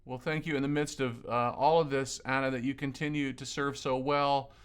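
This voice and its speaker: A man from Lebanon interviewing a colleague in an earnest, conversational way, often pausing and repeating words. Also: medium pitch, level tone, clear, resonant tone. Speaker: ministry leader interviewing a colleague.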